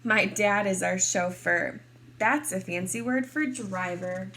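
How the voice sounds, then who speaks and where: A woman reading a picture book aloud expressively to kindergarteners, in a deliberate teacherly way. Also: mid-range voice, a bit bright, slight background noise. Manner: deliberate, clearly addressed to small children.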